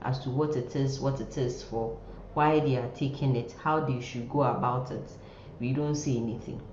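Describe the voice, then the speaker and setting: A woman with a very low, mellow voice teaches an informal online class. She talks slowly and haltingly, often repeating herself. The sound is muffled and echoey, picked up by a computer microphone in a room. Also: fairly even intonation. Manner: halting, repeating words and phrases. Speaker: nursing lecturer. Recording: muffled, roomy sound with faint noise.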